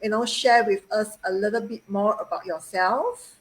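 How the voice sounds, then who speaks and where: A woman from Singapore in her forties hosts an online interview. She sounds upbeat and effusive, often pausing with "uh" and "um" between phrases. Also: medium pitch, low-quality recording. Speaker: host. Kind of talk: live-stream interview.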